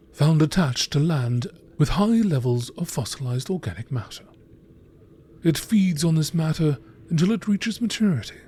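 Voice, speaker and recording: A man's voice: fairly high pitch, mellow. A man reading scripted narration in the style of a nature documentary. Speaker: narrator reading game lore entries. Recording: clearly noisy background ambience.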